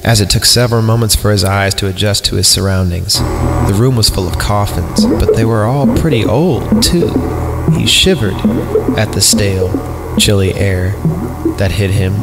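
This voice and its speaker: A man's voice: fairly low pitch, animated, normal speed, vocal fry, low-quality recording. A man hosting a podcast, reading a horror story aloud in a sardonic way, with mocking asides. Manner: sardonic, reads punctuation aloud. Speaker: podcast host reading creepypasta. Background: background music.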